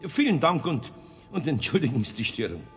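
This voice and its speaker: A man's voice: medium pitch, big pitch swings, a little fast, breathy edge, faint music behind. A man playing an older craftsman with a Bavarian accent, earnest and flustered, in a children's radio play. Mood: politely worried. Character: agitated, kind-hearted older craftsman. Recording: 1970s radio recording with faint noise.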